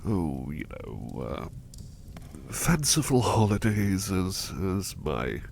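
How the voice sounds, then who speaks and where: A man voicing a sickly young male butler, speaking in a soft, whispery, courteous way close to the mic while resting in bed unwell. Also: low-pitched, wildly swooping pitch, slow, vocal fry, a little hiss or hum, full character voice. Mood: gentle, grateful. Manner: courteous and formal, hesitant restarts. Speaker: voice actor. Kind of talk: ASMR roleplay.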